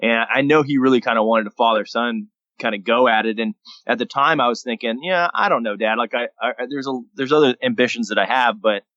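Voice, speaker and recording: A man in his thirties from Texas, a guest telling his story in a relaxed, rambling way on a podcast. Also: medium pitch, brisk, hardly any pauses, no echo. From San Antonio, Texas, USA. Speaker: guest, daily vlogger and entrepreneur. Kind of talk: casual podcast interview. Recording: dull lo-fi dry sound.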